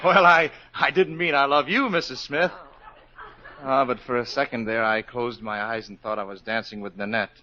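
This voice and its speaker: A man's voice: mid-range voice, steady tone, moderate pace, bright, forceful tone, soft background music, natural voice. An actor playing a bashful, boyish young man who misses his sweetheart and bursts into song, in a 1940s radio musical. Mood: eager. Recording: muffled 1940s radio broadcast. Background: studio orchestra.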